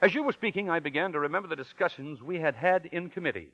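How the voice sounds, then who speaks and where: A man playing a smooth, pompous con man posing as a professor in 1940s radio drama, wordy, grand and given to hesitant stammers. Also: a little high, fast talker, fairly bright tone. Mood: grandiose. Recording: muffled vintage 1940s radio recording.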